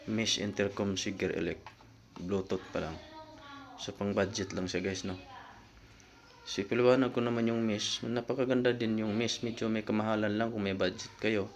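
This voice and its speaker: A man's voice: fairly low pitch, slight background noise. A man talking casually as a vlogger, explaining things step by step and addressing his viewers informally. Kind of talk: casual explainer vlog talk.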